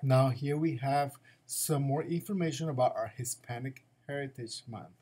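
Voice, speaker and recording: A man's voice: medium pitch, normal speed, mellow. A man, a school principal, giving morning announcements in an upbeat, encouraging way and repeating his advice to students.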